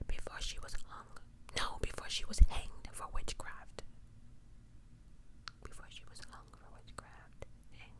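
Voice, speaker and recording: A woman's voice: unhurried, pauses often. A woman whispering true-crime ASMR in a casual, chatty way, often correcting herself and adding opinionated asides. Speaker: ASMR video host.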